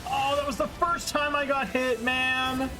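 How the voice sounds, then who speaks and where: A man's voice: very high-pitched, little pitch movement, a little slow, poor audio. A man giving casual, explanatory live commentary as he streams a video game. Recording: game music behind the voice. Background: video game music.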